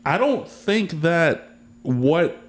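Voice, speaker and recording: A man's voice: on the high side, very animated, extremely slow. A man of about thirty hosting a casual podcast conversation, rambling and joking in loose, slangy speech. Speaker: podcast host.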